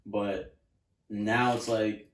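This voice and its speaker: A man's voice: on the low side, little pitch movement, drawn-out words, distant mic. A young man from New Jersey, a rapper guest, talking in a laid-back way full of "like" and "you know". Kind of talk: casual interview chat. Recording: roomy, from off mic.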